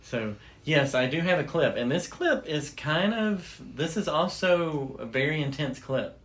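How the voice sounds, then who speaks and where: A woman's voice: low voice, animated, a little slow. A woman hosting a show, explaining things in casual, conversational chat. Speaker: host. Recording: faint background noise.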